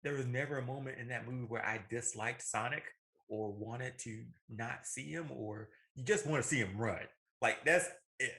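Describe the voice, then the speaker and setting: Bright-voiced man chatting about films. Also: mid-range voice, normal speed. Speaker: podcast co-host. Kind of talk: casual opinionated chat about films.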